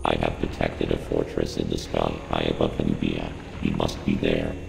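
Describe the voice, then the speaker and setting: Game AI advisor: cold, synthetic, deliberate, extremely gravelly and utterly monotone. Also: male voice, rumbling low, full character voice. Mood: grave, detached. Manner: flat, deliberate, emotionless delivery. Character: cold, deep-voiced military AI computer advisor. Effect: synthetic computer voice processing. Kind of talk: scripted game dialogue, briefing. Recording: echoey hall reverb, loud ambient hum.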